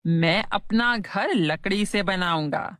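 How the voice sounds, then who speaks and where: A woman voicing a stubborn, self-centred young brother with a blunt, deliberate delivery in a children's cartoon moral story. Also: mid-range voice, fairly bright tone, no room sound, studio quality. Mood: self-satisfied.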